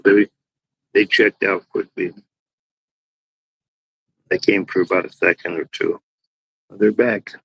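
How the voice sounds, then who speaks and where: A man with a deep, raspy voice hosting a live chat room, chatting casually to himself while he waits for people on a small external mic. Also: steady tone, slow pace, long pauses, no room sound, highs cut off. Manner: rambling, talks to himself while waiting.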